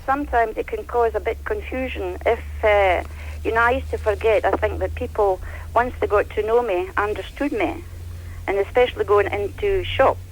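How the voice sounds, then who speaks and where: A woman's voice: medium pitch, moderate pace, a little hiss or hum, poor audio. An older Scottish woman calling in, telling stories in a chatty, laughing way. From Scotland. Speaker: caller. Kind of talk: phone-in chat.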